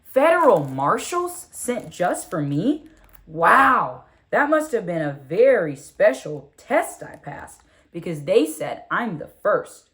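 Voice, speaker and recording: A woman reading a children's storybook in the first person as a curious, proud six-year-old girl, expressive and full of wonder. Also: medium pitch, slow. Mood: surprised wonder. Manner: expressive, wondering, asks rhetorical questions.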